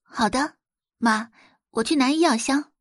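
A woman's voice: high-pitched, a little slow, no echo, clean studio sound, voice pushed up. A woman voicing a confident, disdainful eighteen-year-old daughter in an audio drama, composed and sure of herself.

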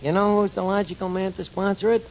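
Scheming tavern manager, high lively voice, glib, self-important, bad grammar, 1940s radio comedy, muffled sound. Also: male voice, fast talker, background noise. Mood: persuasive. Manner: glib, mangles grammar and words. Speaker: star of a radio situation comedy.

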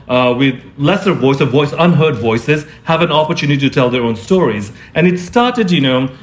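Thoughtful man, hesitant, lots of fillers. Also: fairly high pitch. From born in Singapore, grew up in Nepal and India. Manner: thoughtful, searching for words, many fillers. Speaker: fashion designer, interview guest. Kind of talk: conversational interview.

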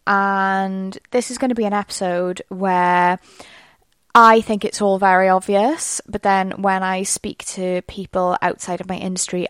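A woman's voice: mid-range voice, fairly bright tone, dry recording, studio quality. A young Welsh woman hosting a solo podcast, chatting in a rambling way full of fillers. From Wales. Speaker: podcast host. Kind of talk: casual solo podcast monologue.

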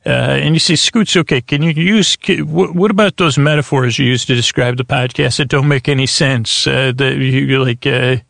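Creaky-voiced man rambling as podcast host. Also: mid-range voice, moderate pace, few pauses, fairly bright tone, no room sound, clean studio sound. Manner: meandering, stammering, self-interrupting, trailing off into tangents. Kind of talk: rambling bedtime sleep podcast monologue.

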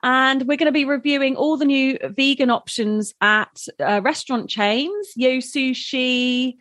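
A woman's voice: sits high, unhurried, fairly bright tone, no echo, clean studio sound. Chatty woman podcast host. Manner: chatty, laughs often, trails off with fillers. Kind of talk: casual chat.

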